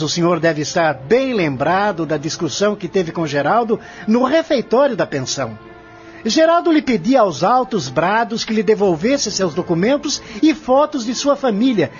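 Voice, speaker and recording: A man playing a confident, relentless lawyer in an audio drama, arguing in a formal, articulate, declamatory way. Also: sits high. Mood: pressing, stern. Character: confident prosecuting lawyer, relentless, methodical, formal courtroom orator. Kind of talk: audio drama acting, courtroom scene. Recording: dull lo-fi audio with music underneath.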